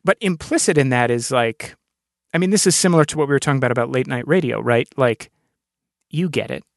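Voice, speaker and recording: A man's voice: medium pitch, animated, fast, creaky, dry recording, clean studio sound. A man hosting a conversational interview, reflective and earnest as he builds his thoughts aloud. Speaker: podcast host, interviewer.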